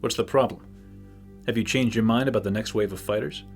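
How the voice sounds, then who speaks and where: An actor playing a disgruntled, sarcastic mercenary who supplies arena fighters in a fantasy audio drama. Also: male voice, on the low side, fairly quick, close to an everyday voice. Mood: wary. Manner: irritable, sarcastic. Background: music.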